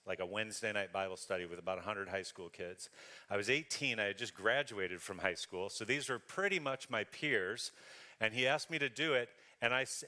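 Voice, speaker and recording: A man's voice: mid-range voice, sharp tone. A man from Western Canada giving a conversational sermon as a guest speaker, self-deprecating and often laughing.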